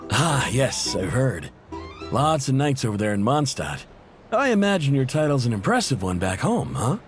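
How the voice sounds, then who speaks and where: Man playing an affable machinist, animated delivery. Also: medium pitch, moderate pace, close to an everyday voice. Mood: playful. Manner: affable, conversational, self-deprecating. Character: friendly, chatty male machinist, easygoing and modest family man. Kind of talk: video game character acting. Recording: music behind the voice.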